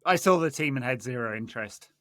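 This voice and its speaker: Man with a bright, dry-sounding voice. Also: medium pitch, animated, quick pace, no echo. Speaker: podcast host. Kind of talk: casual chat on a football podcast.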